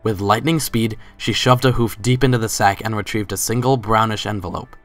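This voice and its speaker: A man's voice: on the low side, fairly even intonation, brisk, faint music behind. A man giving a scripted dramatic reading as the narrator of a story.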